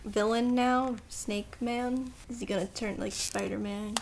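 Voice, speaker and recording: A woman with a fairly high voice hosts a film podcast. She recaps the story in casual conversation, playfully slipping into acted-out quotes. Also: fairly even intonation, a little slow, creaky, crowd noise. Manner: acts out quoted lines playfully.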